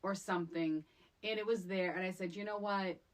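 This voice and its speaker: A woman's voice: mid-range voice, steady tone, brisk. A middle-aged American woman co-hosting a casual film podcast, speaking in a wry, emphatic way. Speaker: podcast co-host.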